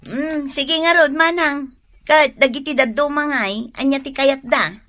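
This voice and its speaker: A woman's voice: high voice, measured pace, fairly bright tone, dry recording. A woman playing a good-humoured younger sister who works abroad and calls home, with an Ilocano accent, in a comedic radio drama. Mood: amused.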